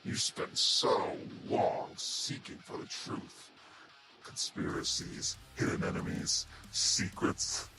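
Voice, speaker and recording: A man voicing a taunting monster villain for a game character reel, with a breathy, whispery rasp and a mocking, theatrical delivery. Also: low-pitched, fairly even intonation. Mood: sly contempt. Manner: slow, deliberate, mocking, theatrical. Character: sinister taunting male monster villain, menacing, patient and mocking. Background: prominent music.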